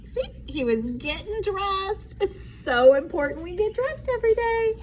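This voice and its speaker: High-pitched, animated woman teacher reading aloud. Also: unhurried, slight background noise. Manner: expressive, simple, emphatic teacherly delivery. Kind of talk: animated storybook read-aloud with simple explanations for students.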